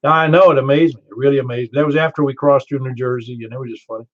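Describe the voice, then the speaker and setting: A man's voice: mid-range voice, fairly even intonation, very fast. Older Southern man chatting on ham radio. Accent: Southern American. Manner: chatty, storytelling, laughs easily. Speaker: ham radio net control. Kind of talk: casual radio chat. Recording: muffled ham radio audio.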